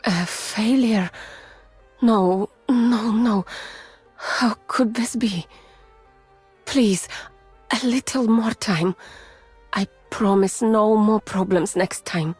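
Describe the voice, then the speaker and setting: Soft, breathy, weary Russian scientist. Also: female voice, medium pitch, dry recording, soft background music, exaggerated voice. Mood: distressed, pleading. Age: adult, mature. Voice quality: soft, breathy, often near a whisper. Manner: measured, formal, restrained. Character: gentle, weary, haunted Russian woman scientist. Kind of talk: soft, intimate ASMR-like game voice acting.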